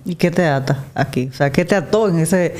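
A man's voice: on the high side, a little fast, darkish tone. A man hosting a podcast, asking chatty, jokey follow-up questions in a casual interview. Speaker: podcast host.

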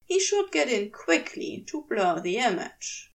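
A woman narrates fiction in a fairly high, very animated voice. She takes her time over each phrase in a scripted storytelling style. The microphone sounds a little distant. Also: unhurried, gravelly. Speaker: fan fiction podfic narrator.

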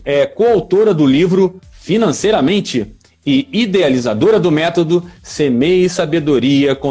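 A man's voice: fairly high pitch, darkish tone, husky. A man hosting a podcast, introducing his guest with enthusiastic, effusive praise. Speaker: podcast host.

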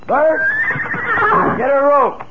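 An actor playing an anxious, deferential stable hand in a radio drama, pleading and agitated. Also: male voice, very high-pitched, fairly even intonation, very slow, very noisy, exaggerated voice. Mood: frantic. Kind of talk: 1970s radio drama acting. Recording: dull old radio recording, loud music.

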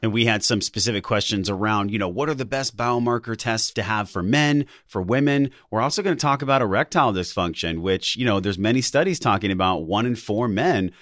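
Podcast host dude, eager and chatty, lowish voice, dry close sound. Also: male voice, fairly quick, few pauses, fairly bright tone, no room sound. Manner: enthusiastic, conversational. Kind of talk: interview questions.

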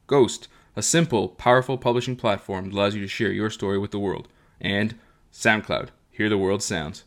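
A man's voice: fairly low pitch, fairly even intonation, normal speed. A man hosting a podcast, reading the intro and sponsor lines, then asking the guest questions in a friendly way. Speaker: podcast host. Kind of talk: scripted intro and interviewing.

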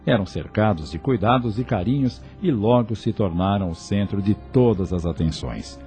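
A man's voice: fairly low pitch, moderate pace, dark tone, soft background music. A man narrating a scripted radio drama in a dramatic storytelling style.

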